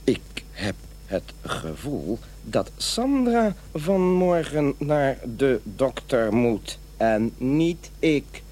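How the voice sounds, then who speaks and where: A man's voice: fairly low pitch, darkish tone, a little hiss or hum, exaggerated voice. An actor playing an irritable, rude man who is quitting smoking, condescending and easily indignant, in an audio drama. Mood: grumbling.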